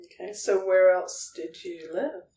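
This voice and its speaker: Woman, interview host, slightly low pitch, conversational, strong room echo. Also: steady tone, measured pace, dark tone. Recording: roomy echo, far from microphone.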